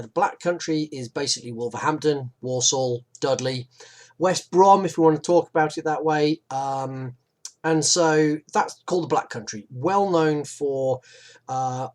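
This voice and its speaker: A man narrating a local history video in a chatty, informal way. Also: a little high. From West Midlands, England. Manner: chatty, relaxed, with fillers and asides. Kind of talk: informal explanatory narration over maps.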